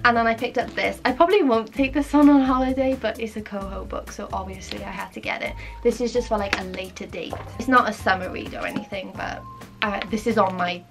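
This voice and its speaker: A young woman chatting excitedly in a casual shopping haul from her bedroom. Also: medium pitch, a little fast. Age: young adult. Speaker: vlogger. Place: pink bedroom indoors. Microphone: camera on a stand, sitting close. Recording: music playing under voice. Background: background music.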